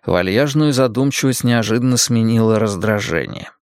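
Measured male audiobook narrator. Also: lowish voice, no room sound, studio quality. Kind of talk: first-person literary narration.

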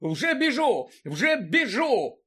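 Guy doing a shifty boozy lowlife fella for an audiobook, slangy, whines and gets all flustered. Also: lively intonation, takes it slowly, fairly bright tone, full character voice. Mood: whining, defensive. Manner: uneducated slangy speech, whining, flustered. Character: squat, shabby, shifty boozy male petty crook.

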